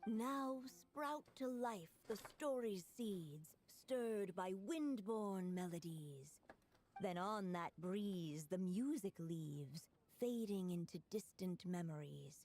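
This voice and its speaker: A woman playing a solemn storybook narrator in a video game, reciting in a measured, lyrical manner. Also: fairly low pitch, expressive, darkish tone, soft background music. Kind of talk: scripted game narration in verse.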